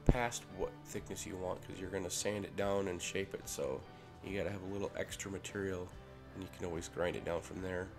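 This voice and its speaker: A man with a head cold, casually talking through a workshop ring build in a relaxed way with fillers. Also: deep voice, brisk, few pauses. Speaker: maker showing a ring build. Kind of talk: casual workshop tutorial voice-over. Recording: voice-over with clear music behind.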